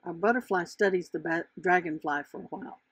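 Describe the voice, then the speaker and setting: Husky woman reading a children's storybook. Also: on the low side, a little fast, no room sound. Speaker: author reading her children's book. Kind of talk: scripted storybook narration.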